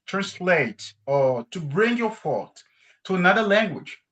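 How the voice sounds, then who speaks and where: Man from Congo, high animated voice, non-native English, casual and expressive, laughs often. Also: unhurried, highs cut off. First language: not English. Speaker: guest. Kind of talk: casual conversation in a class.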